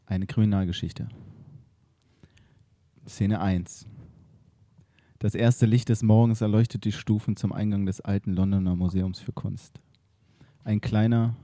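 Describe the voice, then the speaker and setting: Ein Mann, Gastgeber eines Podcasts, erzählt ruhig und gemessen ein Kriminalhörspiel. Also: male voice, on the low side, no echo. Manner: calm, measured storytelling. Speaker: podcast host and narrator. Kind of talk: scripted narration.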